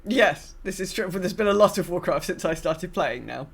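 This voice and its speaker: A woman's voice: lowish voice, lively intonation, moderate pace, vocal fry, husky. A woman co-hosting a podcast, talking casually and a little wryly about a video game. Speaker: podcast co-host.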